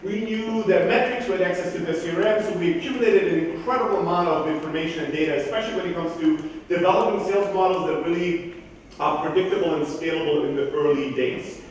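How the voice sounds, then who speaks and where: A man in his thirties from Greece, raised in southern Germany, giving a blunt, profane, energetic keynote talk at a conference. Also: on the high side, quick pace, slight background noise. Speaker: conference speaker. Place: large conference hall. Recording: echoey hall from far off.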